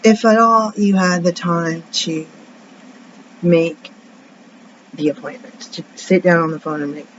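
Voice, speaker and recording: A woman with a raspy, deep voice rambles through a casual vlog, drifting from one tangent to the next as she vents. The recording is dull and lo-fi, with a faint hiss underneath. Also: steady tone, a little slow, pauses often. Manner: rambling, tangent-prone, venting. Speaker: vlogger. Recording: dull lo-fi sound with faint noise.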